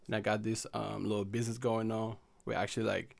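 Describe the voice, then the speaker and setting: A man's voice: on the low side, flat delivery, fairly quick, vocal fry. A young man from Haiti, a guest chatting casually in a laid-back, slangy, rambling way full of fillers. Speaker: podcast guest. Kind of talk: casual chat.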